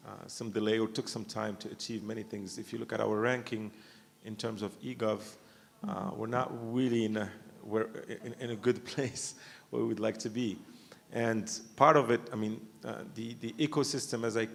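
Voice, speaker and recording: A man's voice: lowish voice, steady tone, moderate pace, vocal fry, big-room sound. A man from Morocco speaking on a conference panel, thoughtful and full of restarts and fillers. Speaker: panelist, company founder. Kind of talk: panel discussion. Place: university conference hall.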